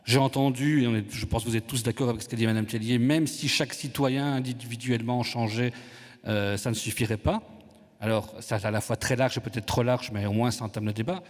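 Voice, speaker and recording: A man's voice: mid-range voice, a little fast. A man whose first language is Italian moderates a panel debate, reflective and searching for his words. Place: conference hall. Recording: long reverb of a conference hall.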